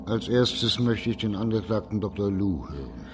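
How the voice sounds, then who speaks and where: An actor playing a composed, stern judge and investigator in a radio crime drama, measured and formal. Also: male voice, lowish voice, very animated, music in the background.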